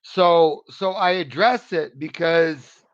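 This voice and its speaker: An American man gives a one-on-one English lesson, encouraging and earnest, doubling back on his words as he goes. His voice sits a little high for a man. It comes through a muffled video-call line. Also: slow. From United States. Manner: earnest, encouraging, repeats words while searching for them. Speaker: English tutor. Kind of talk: motivational one-on-one online lesson.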